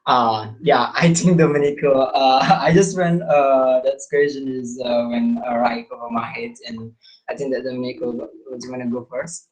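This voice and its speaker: Halting young Indonesian man. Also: mid-range voice, fairly even intonation, poor audio. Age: young adult. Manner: halting, many pauses. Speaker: student guest speaker. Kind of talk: unscripted online discussion answer. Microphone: video call.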